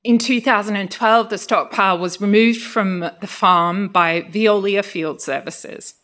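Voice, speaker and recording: A woman researcher with an Australian accent over a Greek first language, giving a measured academic talk with occasional fillers. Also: mid-range voice, fairly even intonation, a bit bright. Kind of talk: festival lecture, partly read. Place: lecture venue.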